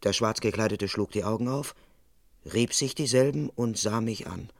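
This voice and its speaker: An actor playing a suspicious British constable in a German radio crime drama, deadpan as narrator and brusque when questioning. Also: male voice, fairly low pitch, moderate pace, close to an everyday voice. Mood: deadpan narrating. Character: officious, suspicious British police constable, male, narrating his case. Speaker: narrator and lead character.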